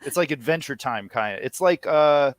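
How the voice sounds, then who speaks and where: A man's voice: mid-range voice, expressive, normal speed, no room sound. A man from Connecticut talking casually as an interview guest, in a rambling, self-correcting way. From United States, Connecticut. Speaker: podcast guest, audio comedy co-creator. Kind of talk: casual chat.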